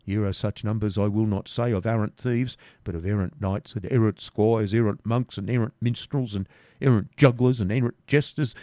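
Guy reading a grumbly stubborn servant, low dark voice, kinda muttering. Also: moderate pace, no echo, natural voice. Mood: dogged. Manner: dogged, grumbling. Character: surly, honest male servant, stubborn and dogged.

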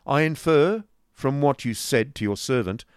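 Male reader as a hard, blunt gentleman, loud and clipped, voiced up a step, in a dry room. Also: mid-range voice, lively intonation, normal speed, clear, resonant tone, no room sound, voice pushed up, lightly acted. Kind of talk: audiobook character voice.

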